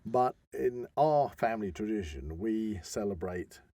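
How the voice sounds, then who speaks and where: A man's voice: fairly low pitch, expressive, a little slow, mellow, dry recording. A man explaining things enthusiastically in a casual podcast chat, often wandering into asides as he tells the story. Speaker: podcast host.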